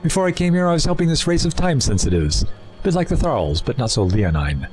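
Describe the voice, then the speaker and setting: An actor in an audio drama playing a suave, erudite renegade Time Lord villain, wordy, condescending and persuasive. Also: male voice, a little high, very animated, fairly quick, darkish tone, faint music behind. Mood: persuasive, scornful. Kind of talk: full-cast audio drama acting.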